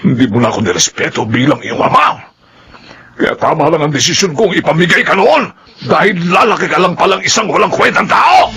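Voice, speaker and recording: A man's voice: a little high, lively intonation, fairly quick, gravelly, bright, forceful tone, full character voice. An actor playing a proud, domineering middle-aged father in a radio drama, scolding and boastful. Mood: angry. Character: brash, proud, hot-tempered womanizing father.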